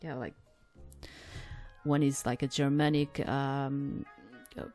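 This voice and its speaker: Low-voiced woman rambling through a game. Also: little pitch movement, slow, a little mellow, soft background music. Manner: rambling, many fillers and restarts. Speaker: streamer playing a visual novel. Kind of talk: casual gameplay commentary.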